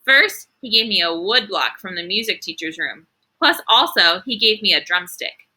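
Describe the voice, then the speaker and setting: A woman's voice: medium pitch, expressive, normal speed, bright, forceful tone. A woman reading as a spirited, quirky young schoolgirl who tells her story with childlike, emphatic enthusiasm. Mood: gleeful.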